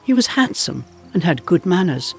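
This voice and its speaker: A man with a slightly high voice narrates a folk tale. His reading is dramatic and expressive, swinging in pitch, with music underneath. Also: normal speed, vocal fry. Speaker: storyteller narrator.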